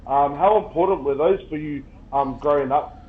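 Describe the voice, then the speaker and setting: Upbeat podcast host, dull lo-fi sound. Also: male voice, fairly high pitch, normal speed. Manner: upbeat, friendly, says bro and brother a lot. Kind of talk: casual podcast interview. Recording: dull lo-fi sound with faint noise.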